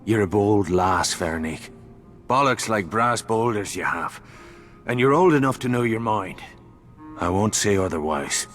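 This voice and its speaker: An actor playing a rough, blunt, wry Irish saboteur in a video game, with an Irish accent. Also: male voice, fairly low pitch, lively intonation, moderate pace, fairly bright tone, faint music behind. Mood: earnest, wry. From Ireland. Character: rough, brash, sardonic Irish saboteur, adult man. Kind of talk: cinematic video game acting.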